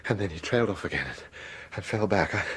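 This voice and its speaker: An actor playing a terrified British gentleman in a radio horror drama, agitated and stammering. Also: low voice, lively intonation, fast, slightly rough, exaggerated voice. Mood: haunted, urgent. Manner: agitated, stammering, broken repetitions. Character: terrified, haunted gentleman pursued by a supernatural evil. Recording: noisy vintage radio drama broadcast.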